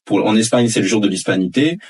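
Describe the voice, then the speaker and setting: A man co-hosting a casual podcast, explaining a history point in a halting way with many fillers. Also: fairly low pitch, expressive, slightly rough. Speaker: podcast co-host giving a history segment.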